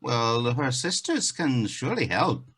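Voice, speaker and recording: A man's voice: medium pitch, big pitch swings, measured pace, noisy background. A man acting a wry bartender and onetime giant killer in a fairy-tale stage play, speaking emphatically.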